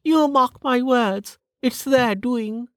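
Snobbish noblewoman, high voice, prim and testy, audiobook character, dry close sound. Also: slow pace, a bit bright, no echo. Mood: testy, insistent. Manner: haughty, sniffing, proper.

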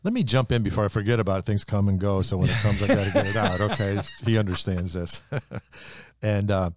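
Very deep-voiced earnest man. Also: fairly quick, background noise. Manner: earnest storyteller, lively when excited.

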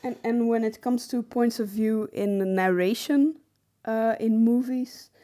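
Mellow-voiced woman co-hosting a discussion. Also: fairly high pitch, a little slow. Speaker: podcast co-host. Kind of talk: casual explanatory discussion.

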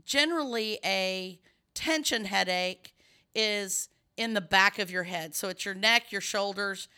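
Scratchy-voiced woman, bright and rambling. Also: medium pitch, measured pace, clear, resonant tone. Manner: rambling, explanatory, many restarts. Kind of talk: casual chat.

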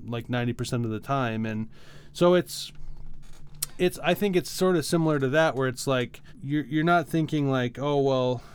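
Smooth-voiced man in casual podcast talk. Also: medium pitch. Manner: halting, hedging, explains by analogy. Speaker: podcast co-host. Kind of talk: casual music discussion.

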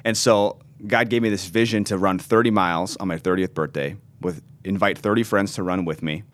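A man's voice: low-pitched, steady tone, brisk. A man in his thirties, a pastor, telling his story earnestly in an interview and often restarting his words. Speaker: pastor and ministry founder.

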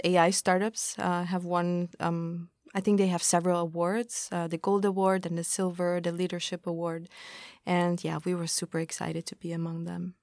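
Low-voiced Austrian woman, thoughtful guest. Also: darkish tone, dry recording, clean studio sound. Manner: thoughtful, many ums and restarts. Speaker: founder of a tech community, guest. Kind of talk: podcast interview.

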